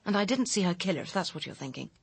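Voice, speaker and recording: An actress with a British accent playing a bitter, persuasive young personal assistant with secrets in a radio crime drama, speaking in a pressing, cutting, articulate manner. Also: female voice, on the low side, animated, very fast, gravelly, breathy edge, no echo, exaggerated voice. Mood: guarded, confiding.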